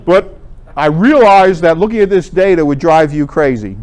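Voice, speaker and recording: Clear-voiced man giving an informal presentation. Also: fairly high pitch, animated, normal speed, a little mellow. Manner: conversational, explanatory. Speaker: presenter at an amateur radio club talk. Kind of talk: informal slide presentation to a group.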